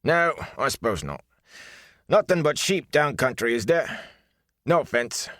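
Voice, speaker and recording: A man's voice: mid-range voice, big pitch swings, measured pace, a bit bright, dry recording, studio quality, voice pushed up. A man voicing a curt young bathhouse attendant who talks abruptly, with a mumbly, mushy way of speaking. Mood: blurting. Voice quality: mumbly, as if with a mouthful of mush. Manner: abrupt, scornful.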